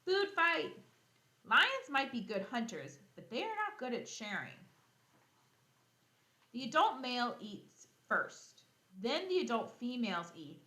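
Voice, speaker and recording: A woman teacher reading a children's nonfiction book aloud, expressively, pausing to explain and think out loud for young pupils. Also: on the high side, big pitch swings, echoey room. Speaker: elementary school teacher.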